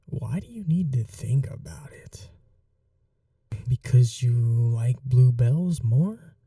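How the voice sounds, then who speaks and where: Soft slow vampire captor put on by an asmr actor, mellow and dark, teasing and possessive, echoey and far off. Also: mid-range voice, animated, clean studio sound. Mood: tender, possessive. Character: possessive vampire noble, teasing, softly cruel captor and lover. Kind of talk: ASMR roleplay.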